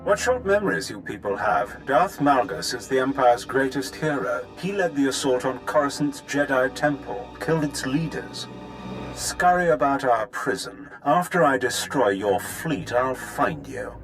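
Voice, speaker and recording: Arrogant dark lord, male, taunting, measured, mid pitch, animated, video game, music behind. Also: poor audio. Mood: menacing contempt. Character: arrogant, menacing male Sith villain. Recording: music under the voice.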